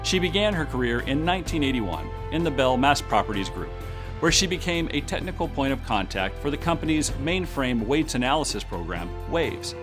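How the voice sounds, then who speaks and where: Lively-voiced man presenting an award. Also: mid-range voice, brisk. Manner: formal, ceremonial. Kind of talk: formal scripted award introduction. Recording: clear music playing underneath.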